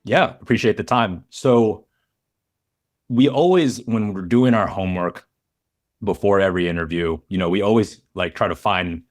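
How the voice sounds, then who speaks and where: A man's voice: fairly low pitch, moderate pace, soft background music. A man speaking as a podcast host and interviewer. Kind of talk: casual podcast interview with scripted intro.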